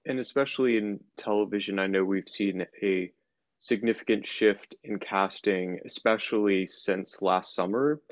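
A man in his twenties asking careful, formally worded interview questions in a halting way over a video call. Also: on the low side, steady tone, no echo, lo-fi sound. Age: 20s. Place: home room indoors. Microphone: computer mic on video call.

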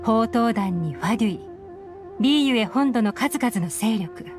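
Game investigator, young woman: highish, cool, calculating, measured, over background music. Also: animated. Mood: composed, explaining. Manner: composed, measured, explanatory. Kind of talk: Japanese game voice acting.

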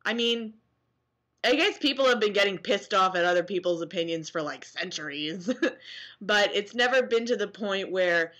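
Bright, sarcastic young American woman. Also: medium pitch, normal speed, dull audio. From New Jersey, US. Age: young adult. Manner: sarcastic, animated. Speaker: vlogger. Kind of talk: casual reaction vlog, reading comments aloud with commentary. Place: small room at home, indoors.

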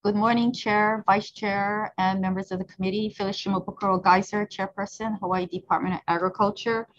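A woman's voice: medium pitch, fairly even intonation. Woman testifying over a dull video call. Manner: measured, summarizing a prepared statement. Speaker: state agency head testifying. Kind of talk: formal testimony. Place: office. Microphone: earbud headset on a video call. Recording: slightly dull video-call audio with faint background noise.

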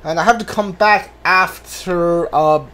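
A man's voice: high-pitched, measured pace, pushed and bright. A man giving casual commentary as he plays through a video game, as a streamer. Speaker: gameplay streamer.

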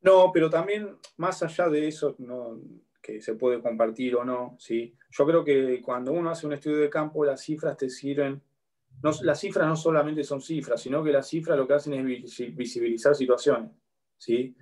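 A man in his thirties with an Argentine accent, lecturing law students online and often checking in with a quick question. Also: mid-range voice, a little mellow. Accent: Argentine Spanish. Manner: explanatory, checks in with a frequent "¿sí?". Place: home room with bookshelf. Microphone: laptop webcam on a video call.

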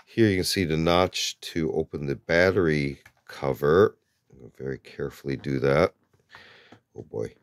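A man's voice: extremely low pitch, unhurried, no echo. A man hosting a show-and-tell video, narrating casually and hesitantly with many filler words while handling an object at a table indoors. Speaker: host of a show-and-tell channel.

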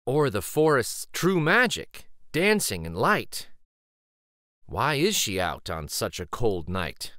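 A man in his forties reading a children's picture book aloud in an expressive, gentle storytelling way. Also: medium pitch, slow, dry recording, studio quality. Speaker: children's story reader and host.

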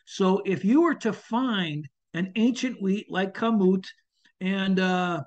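An older man with a fairly high voice answers questions over a video call, often restarting his sentences and filling pauses with "uh" before settling into friendly advice. The audio is dull and lo-fi, like a webcam mic in a small room. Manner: hesitant, many restarts and fillers, friendly advice-giving. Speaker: chef and foraging instructor. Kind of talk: answering audience questions in a webinar.

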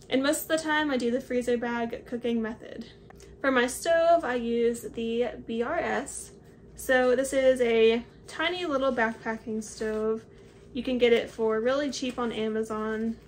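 A woman's voice: a little high, slight background noise. A woman in her twenties casually walking through her hiking gear to the camera in a small home office, explaining each item as she holds it up. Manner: chatty, explains while holding up each item. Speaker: hiking vlogger.

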